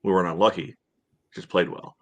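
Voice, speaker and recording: A man co-hosting a soccer podcast, recapping casually and haltingly, leaning on "you know" a lot. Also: low-pitched, fairly even intonation. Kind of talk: casual match recap chat.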